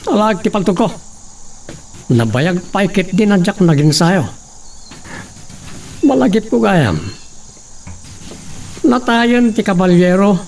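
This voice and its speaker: An actor plays a scheming, grumbling Ilocano farm hand in a radio-style drama. His high-pitched voice crackles with fry and sounds a bit far from the mic.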